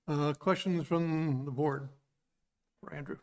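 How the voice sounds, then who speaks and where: A man's voice: fairly high pitch, a little mellow. A man chairing a town board meeting, thanking the speaker and calling for questions in a brief, matter-of-fact way.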